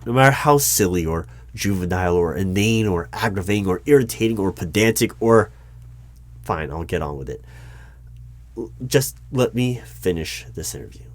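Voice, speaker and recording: Male actor as exasperated hiring manager for a covert bureau, low voice, nervous, officious, apologetic, ASMR interview roleplay. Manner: halting, apologetic, officious, wordy. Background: computer keyboard typing.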